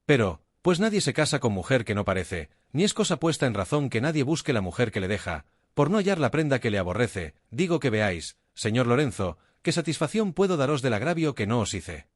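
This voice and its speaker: A courtly, self-assured young duke speaks in measured, formal phrases, his pitch rising and falling freely, as a male narrator reads him aloud. The voice is dry and close, with no room around it. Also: sing-song, no echo.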